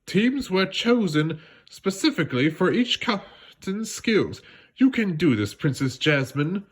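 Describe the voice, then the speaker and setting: A man plays a storybook club chairwoman, her voice lifted high and lilting. She is formal and encouraging, addressing people as if making an official announcement. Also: big pitch swings, a little slow, husky, heavily acted. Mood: firm, encouraging.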